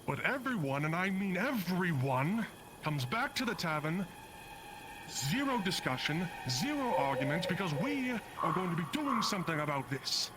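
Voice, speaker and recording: A man's voice: sits high, very animated, moderate pace. A man playing an assertive townsman who gives emphatic orders in an improvised live roleplay. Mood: commanding. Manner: commanding, emphatic. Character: assertive townsman taking charge of frightened villagers. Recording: noisy online game voice chat.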